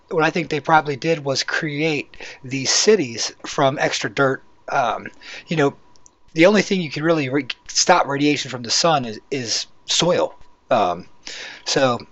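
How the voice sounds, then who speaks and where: A man with a hoarse voice hosting a casual, rambling video walkthrough, talking conversationally as he explains what is on screen. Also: mid-range voice, fairly bright tone, dry recording. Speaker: YouTube channel host. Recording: dull, lo-fi microphone sound.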